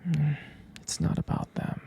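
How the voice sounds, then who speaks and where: A man playing a jealous, possessive but tender boyfriend in an ASMR roleplay, speaking in a hesitant, intimate, breathy whisper. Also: dark tone, a little hiss or hum, natural voice. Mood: possessive, pleading. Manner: hesitant, intimate, halting delivery.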